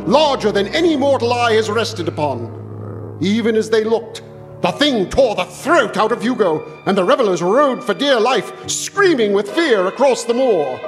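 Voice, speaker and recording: A man playing an earnest country doctor, high voice over music. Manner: solemn storytelling with dramatic swells. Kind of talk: live stage radio-play acting, reading a tale aloud. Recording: live stage recording with music underscore.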